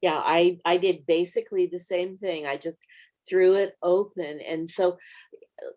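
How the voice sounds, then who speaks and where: A woman with a slightly low-pitched voice hosts a small-group Bible study. She speaks conversationally, in a reflective and encouraging manner, as if advising group members. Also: fairly even intonation, measured pace, darkish tone. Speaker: Bible study video host.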